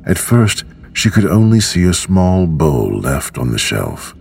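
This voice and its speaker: A man reading a bedtime storybook in a gentle, measured, unhurried way. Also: low-pitched, big pitch swings, gravelly, husky, faint music behind. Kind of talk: slow scripted storybook narration for sleep.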